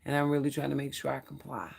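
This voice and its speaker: A Bible study host with a hoarse, strained voice, reading scripture aloud and adding earnest spiritual commentary, stumbling now and then over hard names. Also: mid-range voice, level tone, fast talker, heavy background noise. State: hoarse, losing voice.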